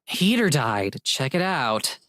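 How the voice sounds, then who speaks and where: A woman voicing an excitable, blunt young woman ghost hunter who gets exasperated and suspicious, in a visual novel. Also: extremely low pitch, takes it slowly, dry recording, studio quality, voice pushed down, heavily acted. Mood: uneasy, deflated. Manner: exclamatory, blunt, casual.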